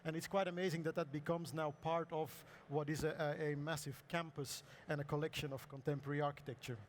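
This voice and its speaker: A man with a hoarse voice presenting his architecture practice's projects at a conference, halting and clearing his throat often. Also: fairly high pitch, fairly even intonation, a little hiss or hum. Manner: hesitant, many ums and uhs, clears throat. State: hoarse, lost voice. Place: conference hall.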